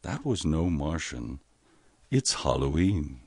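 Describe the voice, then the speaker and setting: An actor playing a grave, deliberate man closing a radio play in 1930s radio style. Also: rumbling low, big pitch swings, dark tone, breathy edge, no echo, heavily acted. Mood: grave, wry. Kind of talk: 1930s radio drama acting.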